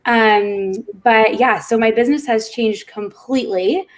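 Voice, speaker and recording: A woman's voice: fairly high pitch, measured pace. A woman business owner, a guest on a video call panel, talking in an upbeat, chatty way.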